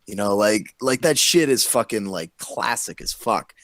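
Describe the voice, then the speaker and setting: A man's voice: lowish voice, expressive, moderate pace. A man chatting casually and enthusiastically about favourite movie quotes, swearing freely as a panelist in a group chat. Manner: profane, enthusiastic, drops into recited movie lines.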